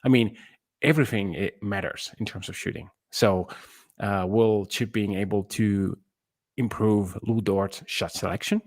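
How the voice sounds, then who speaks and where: A man with a low voice talks through basketball as a podcast co-host. He searches for his phrasing in slightly non-native English, and the sound is close and dry. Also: steady tone, measured pace, a little mellow, no echo. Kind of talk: casual basketball analysis.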